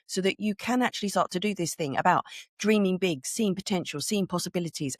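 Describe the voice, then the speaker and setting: A middle-aged woman hosting a spiritual podcast, with a croaky voice, talking earnestly and conversationally and stopping for little laughs. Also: lowish voice, dry recording, studio quality. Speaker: podcast host. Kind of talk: solo spiritual podcast talk.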